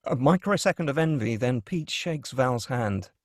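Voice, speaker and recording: A man's voice: mid-range voice, animated, moderate pace, dry recording. Dry, close-miked male screenplay narrator. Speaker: narrator reading stage directions. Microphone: close, dry microphone.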